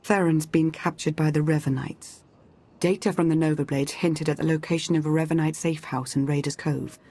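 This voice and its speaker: An actress playing a composed, stern woman strategist in a video game, speaking in a measured, formal, controlled way. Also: low-pitched, steady tone, warm tone. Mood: controlled, firm.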